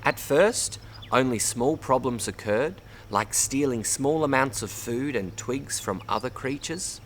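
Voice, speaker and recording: An Australian man reads a children's story aloud in an expressive way, addressing young listeners as a storyteller. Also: mid-range voice, unhurried, slight background noise. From Bundaberg, Queensland, Australia. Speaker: storybook reader. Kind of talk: read-aloud children's story, narration with word teaching.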